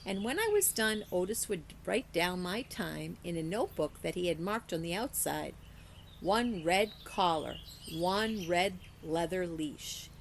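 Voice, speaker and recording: Expressive woman reading aloud, creaky voice. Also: lowish voice, measured pace, a little hiss or hum. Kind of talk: expressive children's story reading.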